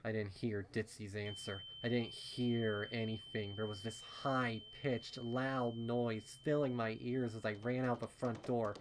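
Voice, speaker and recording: Slow-paced man narrating a story. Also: medium pitch, little pitch movement, background noise. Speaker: fan fiction narrator. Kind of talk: first-person story narration.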